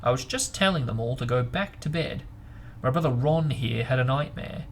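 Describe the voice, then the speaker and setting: A man's voice: mid-range voice, animated, moderate pace, lightly acted. Storybook prefect, read by a man: pompous, bossy older boy, indignant, light noise behind.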